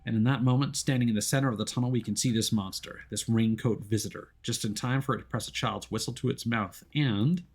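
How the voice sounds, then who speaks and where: Theatrical male game narrator, medium pitch. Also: a little fast, hardly any pauses, slightly rough. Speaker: streamer. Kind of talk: dramatic game story narration.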